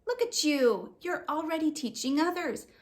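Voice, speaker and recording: A woman's voice: high voice, expressive, moderate pace, pitched above the performer's own voice. A woman voicing a kind, patient mother in a children's book read-aloud, comforting and encouraging. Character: kind, encouraging mother of two young girls.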